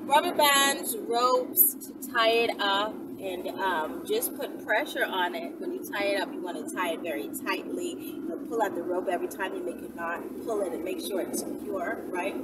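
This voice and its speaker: Female vlogger, thirties, average pitch, candid and emphatic chat, recorded off-mic in a very noisy moving car. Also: animated, normal speed, hardly any pauses. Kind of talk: casual candid solo chat to camera. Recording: distant mic, loud vehicle road noise. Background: loud vehicle noise.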